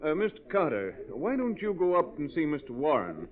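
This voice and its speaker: An actor playing a proper, guarded department store manager in a 1940s radio drama, speaking formally with slight hesitation. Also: male voice, a little high, huge pitch swings, brisk, a crowd in the background. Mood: guarded, helpful. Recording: tinny, band-limited old radio recording.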